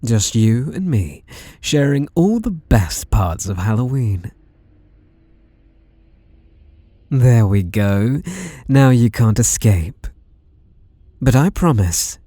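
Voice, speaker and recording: A British man playing an obsessive, seductively playful masked killer, taunting in whispery, drawn-out questions close to the mic in an ASMR roleplay. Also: lowish voice, very animated, dark tone, no echo, heavily acted. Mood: smug, menacing. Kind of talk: ASMR roleplay, intimate monologue. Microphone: close microphone.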